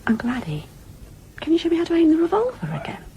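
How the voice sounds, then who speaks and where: A woman's voice: very high voice, wildly swooping pitch, fast, mellow, lo-fi sound, heavily acted. An actress playing a playful, wilful young niece in a radio drama, gushing and teasing in a girlish, coquettish way. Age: young adult.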